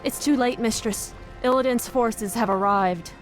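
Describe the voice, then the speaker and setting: A woman's voice: a little high, a little slow, faint music behind, lightly acted. A woman playing an anxious, loyal elven soldier, deferential and alarmed, in a video game. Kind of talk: dramatic video game cutscene acting.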